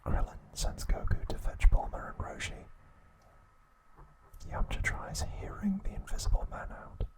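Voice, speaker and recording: A man narrating in a breathy, unvoiced ASMR whisper, hushed and measured, in short phrases. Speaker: host of an ASMR trivia channel.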